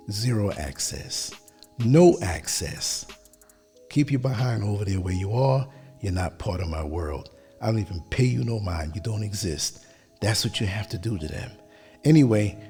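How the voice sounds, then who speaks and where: A man hosting a podcast, giving a motivational monologue in short, emphatic lines, his voice hoarse from a long day of talking. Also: lowish voice, expressive, dark tone, soft background music. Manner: measured, emphatic delivery in short declarative lines. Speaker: podcast host.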